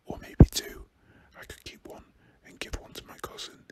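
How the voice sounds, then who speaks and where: A man's voice: moderate pace, warm tone, natural voice. A man voicing a spoiled, sarcastic young girl from a storybook in a demanding whisper. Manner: demanding, snappy.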